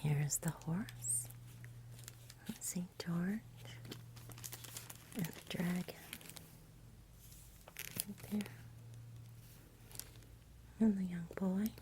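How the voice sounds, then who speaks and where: A woman reading a bedtime story as soft-spoken ASMR, in a breathy whisper. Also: fairly low pitch, drawn-out words, pauses often, noisy background.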